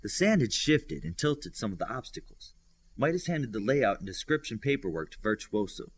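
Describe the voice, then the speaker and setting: A man's voice: fairly low pitch, sing-song, normal speed, breathy edge, no echo. A man reading fiction as an audiobook narrator, in a scripted storytelling style. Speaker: audiobook reader. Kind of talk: scripted fiction narration with lifted character dialogue.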